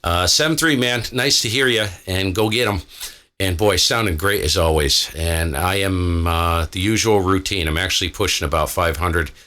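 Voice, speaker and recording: A man's voice: low-pitched, normal speed, sharp tone. An American man, an amateur radio operator, chatting casually with an easygoing, colloquial manner. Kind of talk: casual chat over ham radio.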